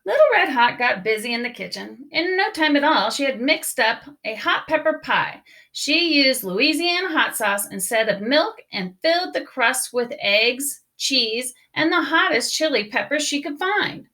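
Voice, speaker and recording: A woman narrates a storybook for children with expressive emphasis. Her voice is bright and fairly high, and her pitch moves around a great deal. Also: measured pace. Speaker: storybook reader. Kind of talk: children's read-aloud narration.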